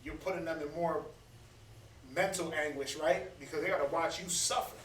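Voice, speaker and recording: A man's voice: fairly high pitch, steady tone, normal speed, fairly bright tone. Man in his thirties, echoey distant room. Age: 30s. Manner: conversational, preachy, stammers and repeats words. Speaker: Bible study speaker. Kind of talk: casual teaching talk. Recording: echoey room, distant mic, faint noise.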